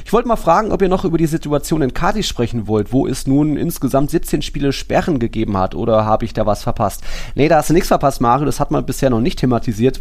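A man with a medium-pitched voice hosts a casual football podcast. He recaps in a chatty, loosely structured way, dropping in asides as he goes. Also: a little mellow. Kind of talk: casual sports podcast discussion.

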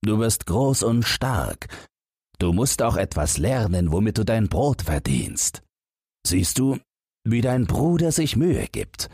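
A man's voice: lowish voice, very animated, slow pace, a little mellow, slightly rough, no room sound, studio quality, voice pushed up, heavily acted. A man voicing a stern, worried father, admonishing and resigned, in a fairy-tale audiobook. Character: stern, worried father of two grown sons.

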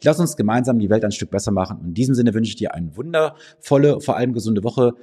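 A man's voice: medium pitch, expressive, fast talker, dark tone, husky. A man with a slight cold, hosting a finance podcast and giving direct, persuasive advice to the listener from his office. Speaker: financial advisor and podcast host. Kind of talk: direct-to-camera advice monologue.

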